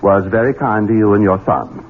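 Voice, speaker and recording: A man's voice: on the low side, fairly even intonation, moderate pace, lightly acted. A man playing a coldly cruel, scheming heir in a 1970s radio drama, taunting and theatrical. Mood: sly, insinuating. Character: menacing, scheming Southern heir, adult son, coldly cruel. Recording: tinny, band-limited 1970s radio with hiss.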